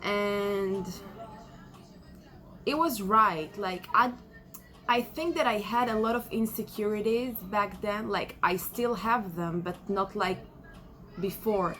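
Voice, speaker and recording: A woman in her twenties from Israel, a native Hebrew speaker with an Israeli accent, talking reflectively in a casual vlog and often pausing with fillers. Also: medium pitch, a bit bright, faint music behind. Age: 20s. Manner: reflective, searching for words with many fillers. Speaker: vlogger. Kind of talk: casual personal vlog monologue.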